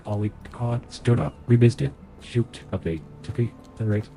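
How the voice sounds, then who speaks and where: A man's voice: mid-range voice, normal speed, vocal fry, dark tone, faint music behind. A young man streaming a game playthrough, thinking aloud as he plays, sounding nasal because he is sick. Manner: chatty, thinks aloud while playing. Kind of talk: live game playthrough commentary.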